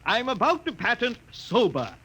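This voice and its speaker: Drunk pompous hubby from old radio, high bright voice, laying it on thick. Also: male voice, huge pitch swings, moderate pace, husky, heavily acted. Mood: grandiose. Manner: pompous, wheedling, florid. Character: tipsy, grandiloquent husband sweet-talking his angry wife. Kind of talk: 1950s radio acting.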